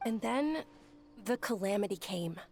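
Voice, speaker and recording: Soft-mannered polite young woman in a video game, kinda high with some fry, music underneath. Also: animated, unhurried. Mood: gentle, reverent. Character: gentle, polite, frail young woman, devout storyteller.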